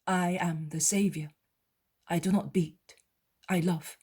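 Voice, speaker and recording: A woman voicing a calm, kind male teacher who speaks gently and steadily. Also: high voice, slightly rough, heavily acted. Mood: gentle. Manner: gentle, measured.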